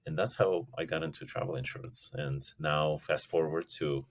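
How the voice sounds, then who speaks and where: A man in his forties, from Russia, talking as a company chief in a casual interview, halting with many restarts and fillers. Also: extremely low pitch, steady tone. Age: 40s. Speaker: company CEO guest.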